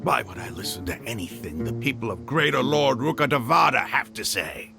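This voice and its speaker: A gravelly, scornful desert mercenary. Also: male voice, mid-range voice, very animated, a little fast, over music. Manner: scornful, mocking. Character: arrogant desert mercenary leader, zealous. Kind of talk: game cutscene voice acting.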